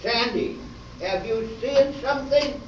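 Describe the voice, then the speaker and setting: A man playing a deadpan police sergeant in an amateur radio play, recorded at a distance in an echoey room. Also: extremely high pitch, lo-fi sound, slight background noise. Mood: deadpan, businesslike. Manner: clipped, deliberate, alliterative police-procedural delivery. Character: deadpan male police sergeant narrating his case.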